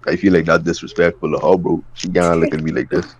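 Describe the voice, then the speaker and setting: A teenage boy, a relaxed, slangy contestant on a video call. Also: low voice, quick pace, crowd noise. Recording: dull lo-fi call audio.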